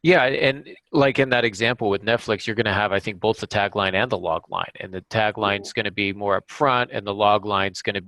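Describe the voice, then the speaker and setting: Low-voiced man hosting a dry-sounding podcast. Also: brisk, hardly any pauses, pushed and bright, no echo. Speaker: podcast host. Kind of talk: conversational interview, summarizing and explaining.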